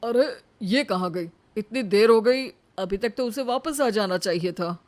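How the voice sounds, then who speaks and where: A woman's voice: mid-range voice, measured pace, darkish tone, natural voice. A woman voicing a cocky, teasing young husband in a cartoon, devoted underneath, with a drawling delivery. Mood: worried.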